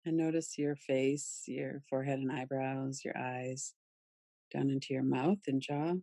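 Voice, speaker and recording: A woman leading a guided relaxation meditation in a soothing, gentle, unhurried manner with pauses. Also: extremely low pitch, fairly even intonation, dry recording. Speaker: yoga nidra meditation guide.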